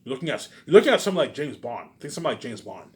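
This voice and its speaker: A man's voice: mid-range voice, sing-song, racing, darkish tone. A young adult man giving a rambling, casual monologue in a brash, boastful, repetitive way, with some swearing. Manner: repetitive, tacks on stock words at phrase ends. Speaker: aspiring film maker.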